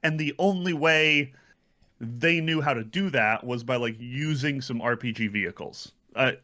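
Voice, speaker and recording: A man co-hosting a gaming podcast, talking casually and analytically in a measured, reflective manner. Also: medium pitch, bright, forceful tone. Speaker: podcast co-host. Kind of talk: casual analytical game discussion.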